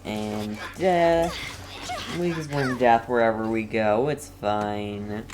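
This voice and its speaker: A woman streamer with a very low voice talks herself through a game. Her commentary is casual and rambling, at an unhurried pace, with faint noise behind her.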